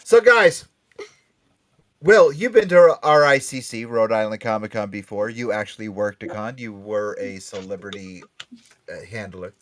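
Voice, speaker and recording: A man hosts a loose, casual panel chat. His pitch swings widely as he talks, and his delivery is excitable and animated. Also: normal speed, slight background noise. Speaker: podcast host and convention promoter.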